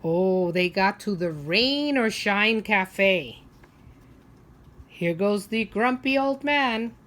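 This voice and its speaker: A woman teacher leading a read-aloud for young children, asking simple questions and pausing for them to think. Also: mid-range voice, animated, a bit bright.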